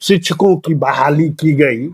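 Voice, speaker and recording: A man putting questions in a phone discussion, with deliberate, formal phrasing. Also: a little high, gravelly, husky, dry recording. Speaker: interviewer. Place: recorded audio call.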